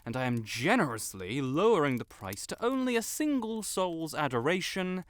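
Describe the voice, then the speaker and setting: A man's voice: a little high, big pitch swings, normal speed, no echo. A man playing a pompous, melodramatic wizard in an audio roleplay, with flowery, theatrical speech and blurted self-corrections. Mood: haughty, dramatic. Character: haughty, melodramatic male wizard, secretly fond tsundere. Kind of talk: scripted audio roleplay to the listener.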